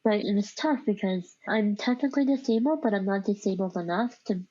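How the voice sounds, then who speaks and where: A woman's voice: mid-range voice, brisk, warm tone, no echo. Woman w/ a speech impediment, chatty reflective podcast guest telling her story, says like a lot, dry kinda muffled audio. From born overseas in Vietnam, grew up in the United States. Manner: informal, reflective, peppered with "like". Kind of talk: candid personal storytelling in an interview.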